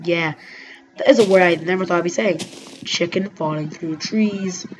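A woman giving rambling, excitable let's-play commentary over her gameplay, talking straight to her viewers. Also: on the low side, normal speed, a little hiss or hum. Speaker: gaming commentator. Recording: dull lo-fi mic with faint music.

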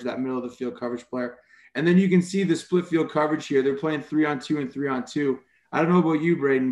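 A man's voice: medium pitch, reverberant. A man from Canada presenting a football coaching clinic over a video call, explaining defensive structures in a casual way with many fillers. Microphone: video call microphone.

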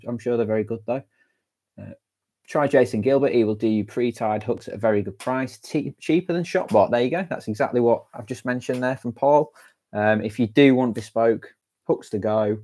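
A young man with a fairly low voice hosts a live stream from a small indoor room. He talks loosely and chattily, full of fillers and restarts. He speaks into an earphone headset mic. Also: a little mellow. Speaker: angling channel host. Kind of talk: live-stream chat reading viewer comments. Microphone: wired earphone headset mic.

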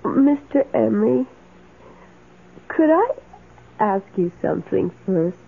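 An actress playing a meek, lonely, adoring maid in a radio drama, speaking in a timid, halting, wistful way. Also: female voice, medium pitch, animated, gravelly, slightly rough, heavily acted. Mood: flustered, adoring. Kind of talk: old radio drama acting. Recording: tinny, hissy old radio recording.

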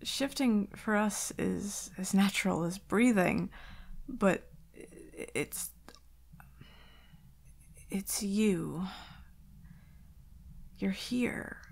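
A woman playing an anxious, vulnerable werewolf girlfriend in an intimate ASMR roleplay, soft and hesitant, stammering and often slipping into breathy whispers. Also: medium pitch. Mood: hesitant, distracted.

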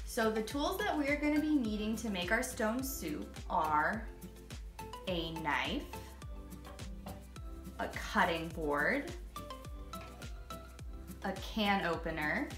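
A woman's voice: medium pitch, animated, slow, long pauses, roomy sound, over music. A young woman in her home kitchen, walking children through a soup recipe step by step in a friendly, instructing way. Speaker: cooking teacher. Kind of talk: kids' cooking lesson.

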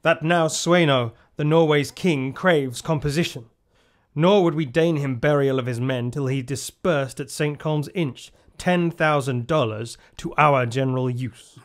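A loyal nobleman acted by a man brings news of war in a slightly high male voice, in formal classical radio drama style. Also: a little slow, lightly acted. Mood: formal, proud.

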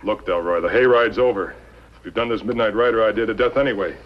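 Man as fast-talking, blunt outlaw henchman. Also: fairly low pitch, natural voice. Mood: sardonic, wary. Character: blunt, sceptical, tough male outlaw henchman. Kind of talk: 1950s television western acting. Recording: muffled old television soundtrack.

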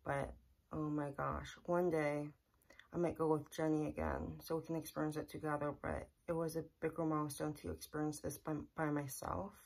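Low-voiced young woman vlogging earnestly. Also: level tone, normal speed, gravelly, darkish tone. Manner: earnest, repetitive, plain-spoken. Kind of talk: casual personal vlog to camera. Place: small bedroom, indoors. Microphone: camera in front at close range.

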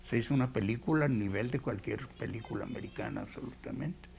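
Older Mexican man, mellow and gravelly, veteran animator recounting memories. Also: medium pitch, fast talker, a little hiss or hum. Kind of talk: reminiscing in a documentary interview.

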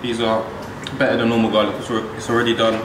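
A relaxed young man talks his way through a recipe in a large modern kitchen. He sounds distant from the camera, with a roomy echo and clear background clatter of pots and pans. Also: fairly low pitch, fast. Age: young adult. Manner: relaxed, explains as he cooks. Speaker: young footballer cooking. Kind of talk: casual cooking vlog chat. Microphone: far camera mic. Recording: echoey kitchen, distant mic, noisy.

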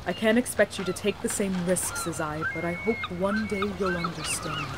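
A woman playing an earnest, high-minded young woman in a fantasy audio drama, speaking formally in measured, archaic phrases. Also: noisy background, natural voice. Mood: solemn, earnest.

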